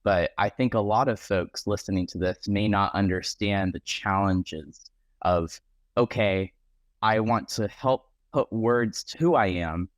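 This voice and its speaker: A man's voice: fairly low pitch, measured pace, no echo. A man hosting a casual interview, affirming his guest and laughing often.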